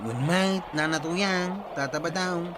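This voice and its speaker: A man voices a careful Ilocano nephew in an audio drama, slightly higher-pitched than most men. He speaks in a measured, warning way. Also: background noise. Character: cautious young male nephew. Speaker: captain's nephew. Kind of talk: radio drama acting.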